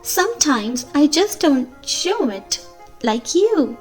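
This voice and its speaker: Woman as a kind, high-voiced little girl. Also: sing-song, slow pace, faint music behind, full character voice. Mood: kind, encouraging. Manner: gentle, encouraging, explaining. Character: kind, reassuring little girl who feels like a princess.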